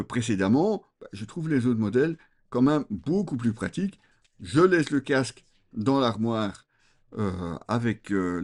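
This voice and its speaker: A man giving an unscripted, conversational product review, explaining things to viewers with frequent hesitant pauses. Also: mid-range voice, darkish tone. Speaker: motorcycle gear reviewer.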